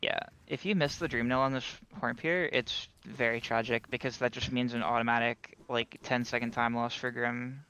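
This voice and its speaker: A man calls a live speedrun race for an online audience. His voice sits at an average pitch, and he talks in a relaxed, analytical way, breaking down routes and strategy between small asides. He sounds easygoing and knowledgeable, like a regular of the show. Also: normal speed, few pauses, smooth, poor audio. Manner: casual, analytical, explains strategy, occasional laughs. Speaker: commentator. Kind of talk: live esports commentary.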